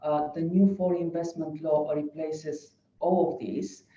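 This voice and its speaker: A speaker from Bulgaria in their forties with a Bulgarian accent, giving a webinar presentation as an advisor and often pausing to search for words. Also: medium pitch, level tone, warm tone. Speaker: foreign investment advisor. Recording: dull echoey room recording.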